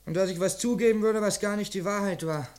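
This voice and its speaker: High-voiced defiant youth played by a man. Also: quick pace, a little mellow, close to an everyday voice. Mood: defiant, anxious. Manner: indignant, defensive. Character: defiant, anxious youth under arrest, not yet of age. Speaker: actor in a radio crime drama. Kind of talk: German radio play acting.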